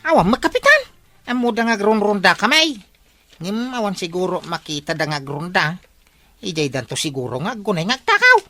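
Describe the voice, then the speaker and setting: Bright, cheeky village night-watch member. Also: female voice, medium pitch, big pitch swings, moderate pace. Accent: Ilocano. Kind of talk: comedy radio drama acting.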